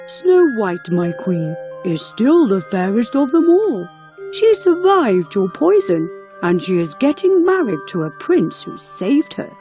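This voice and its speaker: A woman's voice: mid-range voice, sing-song, measured pace, warm tone, faint music behind. A woman voicing a solemn, truth-telling magic mirror in a fairy tale. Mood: solemn, proclaiming. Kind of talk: storybook character reading.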